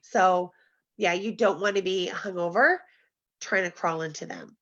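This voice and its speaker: A woman's voice: on the low side, a little slow, a bit bright. A woman hosting a casual group video call, chatty and laughing often, recorded from home. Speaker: host. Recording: slightly dull video call audio.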